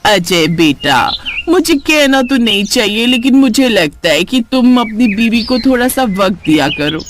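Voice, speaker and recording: A high, animated voice of a man plays a middle-aged neighbourhood gossip in a cartoon tale. She is suspicious and disapproving, full of insinuation, with music clearly behind her. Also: unhurried, heavily acted. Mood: sly insinuation. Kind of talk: cartoon story character acting.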